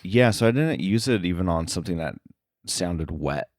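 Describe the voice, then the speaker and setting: A man talking casually as an interview guest, halting often and correcting himself as he goes. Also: deep voice, expressive, darkish tone, no echo, clean studio sound. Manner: halting, filler-heavy, self-correcting. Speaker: podcast guest, sound editor.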